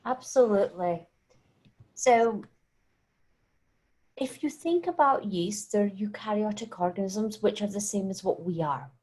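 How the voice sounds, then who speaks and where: A woman's voice: mid-range voice, expressive, measured pace, long pauses, a little mellow. Scottish woman explaining science. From Scotland. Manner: clear, explanatory, fluent. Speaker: guest scientist. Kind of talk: webinar guest explaining science. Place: home. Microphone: video call.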